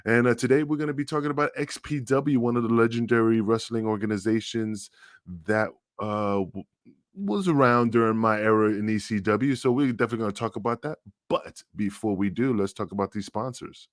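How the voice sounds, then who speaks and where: Low-voiced man, chatty podcast host. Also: a little fast, no room sound, clean studio sound. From United States. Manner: hype-man intro, loose and chatty with many fillers. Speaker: podcast host, former wrestler. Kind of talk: casual podcast chat.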